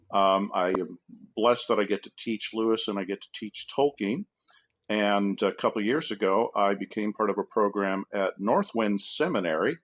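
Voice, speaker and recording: A man with a fairly low voice speaks as an academic guest in a relaxed interview. His delivery is measured and halting, like a professor choosing his words. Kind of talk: casual podcast interview.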